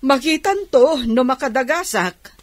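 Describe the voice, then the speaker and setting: Haughty, resentful radio drama aunt, Ilocano accent, with husky tone and big pitch swings. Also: female voice, expressive, measured pace, no echo. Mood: haughty, sarcastic. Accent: Filipino, Ilocano. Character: resentful, proud, sarcastic aunt.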